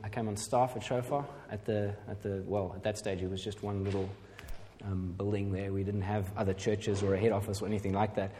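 A man's voice: fairly low pitch, warm tone. A middle-aged man telling a personal testimony as a pastor, rambling and self-deprecating, with many fillers. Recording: hall reverb.

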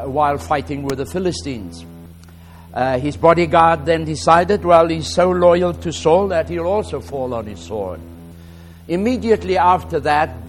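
Slow South African preacher, Afrikaans native. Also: male voice, a little high. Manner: relaxed and halting, with many "uh" fillers, louder and slower when reading scripture. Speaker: guest preacher. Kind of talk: sermon with scripture reading.

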